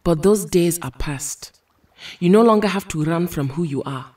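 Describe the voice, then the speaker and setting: An actress playing a serene, noble weather-goddess superheroine in an audio drama, husky and formal in her measured wording. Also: lowish voice, lively intonation, mellow, far from the mic. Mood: gentle.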